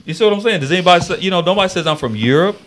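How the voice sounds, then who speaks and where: A man's voice: high voice, animated, very fast, fairly bright tone. A man on a talk radio panel speaking casually, rambling and repeating himself, often checking that listeners follow. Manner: rambling and repetitive, keeps asking "you see what I'm saying".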